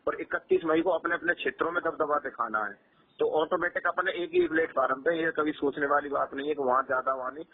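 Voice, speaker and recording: A man's voice: a little high, fairly quick, slightly rough. A man talking earnestly on a phone call, emphatic and often repeating his phrases. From Rajasthan, India. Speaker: local journalist and community activist, being interviewed. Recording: tinny, muffled phone call recording.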